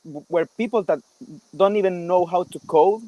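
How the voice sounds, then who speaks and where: Higher-voiced man, halting delivery. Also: dark tone, no room sound, poor audio. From El Salvador. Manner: halting, repeats words as he searches for phrasing. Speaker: guest, developer and product builder. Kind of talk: casual interview chat.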